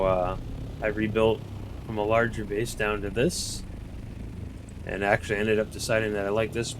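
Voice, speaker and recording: A man's voice: fairly low pitch, noisy background. A man with a Boston accent casually showing viewers around his game base, rambling with fillers and restarts. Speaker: gameplay video host. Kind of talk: casual game walkthrough commentary.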